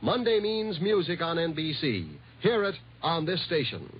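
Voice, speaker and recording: Male network announcer, fairly high and very expressive, declamatory, muffled vintage radio sound. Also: moderate pace, breathy edge. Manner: polished, declamatory. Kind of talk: 1950s radio promotional announcement. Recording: muffled old radio recording, faint noise.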